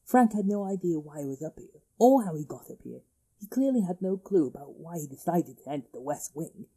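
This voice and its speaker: Man playing hesitant care worker, submissive, uneasy, very mellow dark voice, audio drama. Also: a little high, lively intonation, brisk, slightly rough, poor audio. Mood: meek. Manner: deferential, hesitant. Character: meek, reluctant care-home underling with a conscience.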